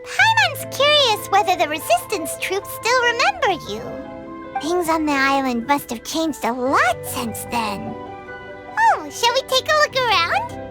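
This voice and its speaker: Very high, bubbly little fairy sidekick, swooping all over; game music under her. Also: wildly swooping pitch, unhurried, heavily acted. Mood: curious. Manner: bubbly, talks about herself in third person. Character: tiny floating female fairy companion, chatty. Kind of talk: video game voice acting. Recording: game soundtrack behind.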